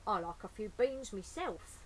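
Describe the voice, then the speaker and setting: A woman's voice: mid-range voice, animated, unhurried, slight background noise, natural voice, poor audio. Woman putting on a hearty, exclamatory storybook burglar man. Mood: cheerful. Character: Burglar Bill, gruff good-natured adult male burglar. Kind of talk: picture-book read-aloud character voice.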